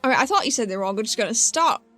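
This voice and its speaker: A woman's voice: on the high side, animated, very fast, a bit bright. Woman as a wary female agent in a tabletop game, conversational, questioning, music underneath. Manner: conversational, doubting.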